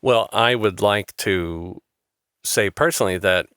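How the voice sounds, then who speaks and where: A man hosting an interview podcast, talking in a halting way with many restarts and fillers. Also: on the low side, a bit bright, clear, resonant tone, no echo, clean studio sound. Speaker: podcast host. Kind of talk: interview chat.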